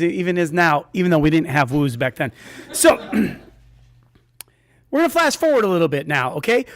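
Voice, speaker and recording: A man's voice: high-pitched, no room sound, clean studio sound. A man telling a comic true story in a conversational, self-interrupting way, as a storyteller on stage.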